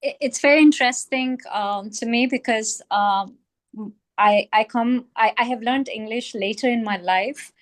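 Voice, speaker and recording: A woman whose first language is Persian asks a panel a long question in English. Her voice is fairly high. She searches for words, with many ums and restarts. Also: measured pace. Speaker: audience member asking a question. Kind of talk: panel discussion.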